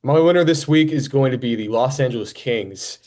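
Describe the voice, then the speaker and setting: A man's voice: medium pitch, normal speed, darkish tone. A young man hosting a podcast, talking sports in a casual, conversational way.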